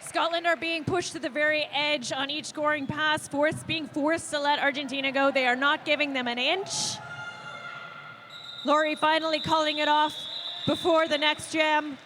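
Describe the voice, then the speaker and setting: A woman giving play-by-play roller derby commentary with quick calls and playful banter, with a faint arena hum behind her. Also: high voice, level tone. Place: leisure centre arena.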